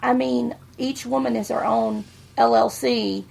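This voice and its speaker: A woman's voice: on the high side, steady tone, unhurried, gravelly, darkish tone. A woman, co-hosting a podcast and giving her blunt opinions in casual chat. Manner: blunt, outspoken. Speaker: podcast co-host.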